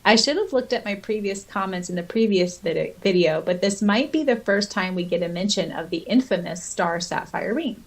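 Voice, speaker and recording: A woman's voice: medium pitch, animated, normal speed, hardly any pauses. A woman co-hosting a podcast in a casual fan chat, sometimes reading comments aloud. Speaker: podcast host.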